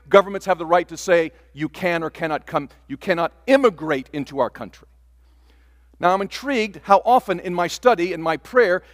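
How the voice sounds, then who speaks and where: A man's voice: sits high, a bit bright, long reverb tail. An older man preaching a sermon from the pulpit, earnest, stretching out key words for emphasis. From Taiwan. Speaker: pastor. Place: church sanctuary.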